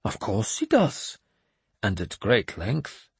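A man voicing a mad, sly, self-proclaimed bishop who talks in a high, slow, sing-song preaching way. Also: wildly swooping pitch, gravelly, slightly rough, dry recording, full character voice. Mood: scornful.

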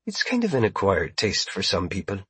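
A man voicing an earnest woman officer in an audiobook, measured and pleading in manner. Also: low-pitched, wildly swooping pitch, bright, forceful tone, husky, no echo, heavily acted. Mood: dry, composed. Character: earnest woman officer, former pilot, negotiating. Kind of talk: audiobook character voice.

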